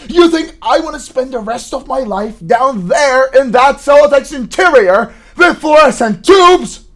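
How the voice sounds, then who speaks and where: A man acting a trapped, bitter son in a theatrical monologue, swinging between hushed and shouted delivery. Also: high voice, lively intonation, a little slow. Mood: angry, anguished. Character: trapped, bitter young son arguing with his mother.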